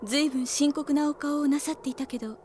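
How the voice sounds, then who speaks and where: A woman's voice: sits high, steady tone, a little fast, a little mellow, close to an everyday voice. An actress playing a tender, polite young woman knight, the caring older sister, in a radio drama. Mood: concerned. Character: gentle, soft-spoken older-sister female knight. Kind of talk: Japanese radio drama acting. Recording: faint ambient noise.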